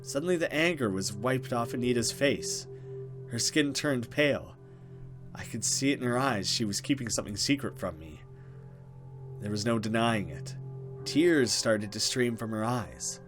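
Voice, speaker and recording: A man's voice: mid-range voice, animated, moderate pace. Man narrating a horror game, music behind. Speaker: let's play host. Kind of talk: reading a visual novel aloud with casual commentary.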